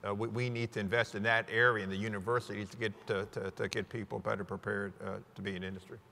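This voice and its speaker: A man on a business panel, halting and repeating words. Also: lowish voice, level tone, gravelly, sharp tone, big-room sound. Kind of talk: panel discussion.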